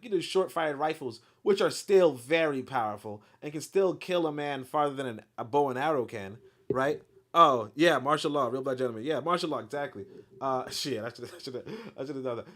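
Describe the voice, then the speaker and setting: Casting note: man on the higher side, reads a book aloud and then breaks into heated, blunt asides full of fillers and swearing. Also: moderate pace. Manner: animated, blunt asides with profanity and many fillers. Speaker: host reading a book aloud with commentary. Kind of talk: book reading with heated live commentary.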